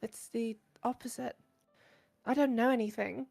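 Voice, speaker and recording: Casting: female actor as insecure, soft-spoken bookworm girl, visual novel game, slightly high pitch, halting earnest delivery. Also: steady tone, vocal fry, faint music behind. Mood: earnest, vulnerable. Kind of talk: visual novel character voice acting.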